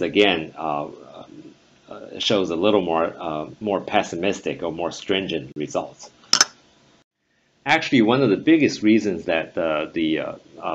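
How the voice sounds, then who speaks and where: A man presenting a technical conference talk over slides, measured and full of "uh" fillers. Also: deep voice. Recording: dull lo-fi voice-over recording.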